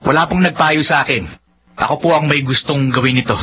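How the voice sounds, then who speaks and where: A man's voice: on the high side, a little fast, bright, forceful tone. A man acting an earnest, polite young son in a drama, with a Filipino accent. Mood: earnest pleading. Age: young adult. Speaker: actor in a Tagalog drama. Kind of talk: melodramatic drama dialogue. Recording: muffled, faintly noisy recording.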